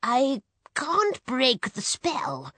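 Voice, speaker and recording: A woman voicing a knowing old male wizard with a deliberate, incanting manner in a storybook. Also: high-pitched, huge pitch swings, fairly bright tone, no echo, voice pushed up, heavily acted. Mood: solemn. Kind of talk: children's storybook character voice.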